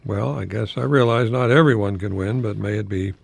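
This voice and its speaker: Gravelly older American man on radio. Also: fairly low pitch, a little fast. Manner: rambling, hesitant, chuckling asides. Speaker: radio host, college professor. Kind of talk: informal radio monologue.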